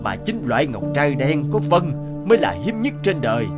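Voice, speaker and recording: An actor playing a stout, middle-aged connoisseur in an animated fable, grave and admonishing, explaining in measured phrases. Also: male voice, a little high, over loud music.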